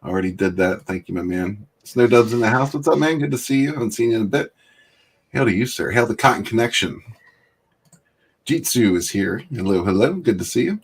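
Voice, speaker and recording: A man's voice: fairly low pitch, animated, a little fast, slightly rough. A man hosting a casual livestream chat, greeting viewers by name and laughing often. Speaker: livestream host.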